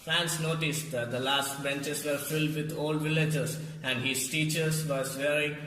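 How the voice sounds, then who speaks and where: A man's voice: fairly high pitch, fairly even intonation, measured pace, bright, forceful tone. A young man, a student, narrating a school puppet show from a script in a stiff, recited announcing manner. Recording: distant mic, very echoey hall, clear noise.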